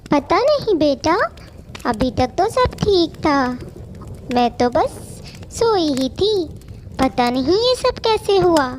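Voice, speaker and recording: A woman's voice: very high voice, very animated, slow, long pauses, slight background noise, heavily acted. A woman voicing a protective, caring mother bird in a children's cartoon story, earnest and motherly. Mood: worried. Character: gentle, caring mother bird, protective of her young son.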